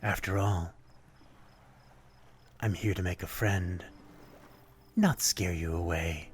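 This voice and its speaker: A man's voice: deep voice, fairly even intonation, slow pace, a little hiss or hum. A man playing a melancholy, courtly vampire in an intimate ASMR roleplay, speaking politely in old-fashioned phrasing with a predatory undertone. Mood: gentle, coaxing. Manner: old-fashioned, polite, brooding, addressing one listener intimately. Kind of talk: ASMR roleplay, dramatic monologue.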